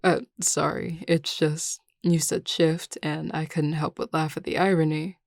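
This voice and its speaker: A woman voicing a composed, teasing and reassuring werewolf pack leader in an audio roleplay, measured, assured and dryly wry. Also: sits low, flat delivery, creaky, dry recording, studio quality, full character voice. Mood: kind, composed. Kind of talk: intimate audio roleplay acting.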